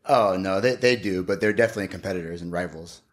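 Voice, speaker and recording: A man in his thirties talking casually as a podcast guest, thinking aloud in a measured way and repeating words as he goes. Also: deep voice. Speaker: guest, gym owner and martial arts coach. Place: small indoor podcast studio. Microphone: podcast microphone on a boom arm.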